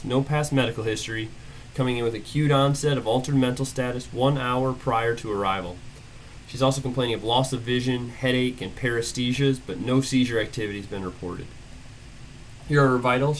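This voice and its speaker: A young man with a head cold walks through a medical case, brisk and methodical, ticking off findings in short phrases. His pitch sits in an ordinary middle range. There is a faint hiss of noise behind him. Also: rough recording. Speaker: emergency medicine presenter. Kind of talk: case-based medical teaching.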